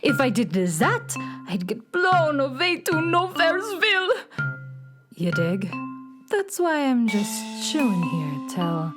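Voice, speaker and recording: A woman's voice: high voice, big pitch swings, slow, music in the background, full character voice. A woman playing a laid-back, too-cool beatnik cat girl who drawls hipster slang in a children's radio play. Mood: cool, unbothered. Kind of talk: children's radio theater acting.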